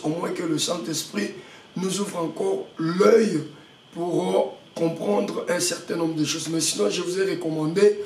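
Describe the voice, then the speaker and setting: A male pastor with a head cold gives a sermon, halting often and repeating words, and puts rhetorical questions to his listeners. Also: sits high. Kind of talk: prayer-meeting sermon. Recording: echoey room, mic at a distance, faint background noise.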